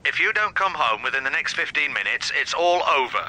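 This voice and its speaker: A bitter, controlling middle-aged husband heard down a telephone line. His voice is fairly high and very hard-edged. He speaks accusingly and bossily, in radio drama style. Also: expressive, brisk. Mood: angry, threatening. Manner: sharp, accusing, domineering. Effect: telephone filter.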